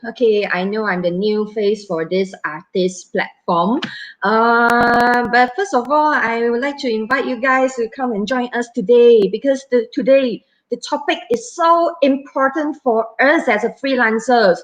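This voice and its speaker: A woman in her thirties with a Malaysian English accent hosts a live stream and talks to her viewers. Her voice sits a little high, and she chats in a halting way, full of fillers and restarts. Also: poor audio. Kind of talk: casual live stream chat.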